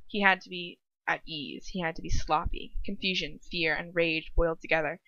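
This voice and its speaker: A woman's voice: lowish voice, steady tone, moderate pace, pushed and bright, no room sound, muffled recording. A woman reading fan fiction informally, stumbling and breaking off to comment as she goes. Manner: stumbling, self-interrupting reading. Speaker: reader and host.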